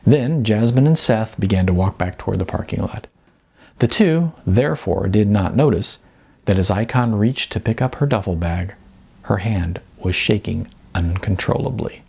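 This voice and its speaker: A man's voice: on the low side, animated, normal speed, vocal fry, darkish tone, breathy edge. A man reading scripted story narration as an audiobook narrator.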